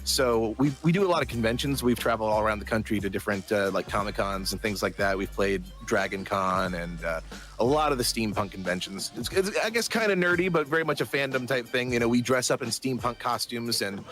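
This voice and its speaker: Guy voice actor just chatting in an interview, mid pitched voice. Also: a little fast, fairly bright tone. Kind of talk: casual interview chat.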